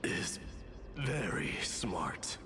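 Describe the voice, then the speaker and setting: Game role: solemn male warrior, deep voice, very slow deliberate delivery. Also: big pitch swings, bright, forceful tone, whispered, full character voice. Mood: deadpan. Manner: halting, grave, weighty phrasing. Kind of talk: anime-style video game voice acting. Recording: game music behind the voice.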